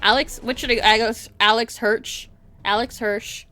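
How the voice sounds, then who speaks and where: A woman hosting a game livestream, chatting casually and reading story narration aloud in an animated, giggly way. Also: a little high, moderate pace, soft background music. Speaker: livestream host playing a visual novel. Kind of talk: casual stream chat and dramatic read-aloud.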